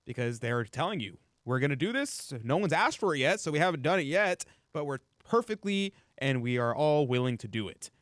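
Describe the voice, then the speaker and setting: A man hosting a talk radio show, commenting on the news in an opinionated, animated way, pushing his pitch up when he makes a point. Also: a little fast, hardly any pauses, dry recording, studio quality. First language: English.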